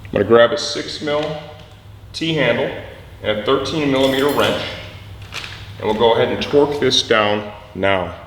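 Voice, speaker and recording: A man presenting a hands-on mechanical how-to, instructive and often checking in with a quick "okay". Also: medium pitch, big-room sound, background noise.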